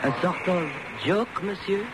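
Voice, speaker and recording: An actor playing a courteous, patient train attendant in a radio drama, on a very noisy old recording. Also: male voice, high-pitched, a little slow. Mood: polite, patient.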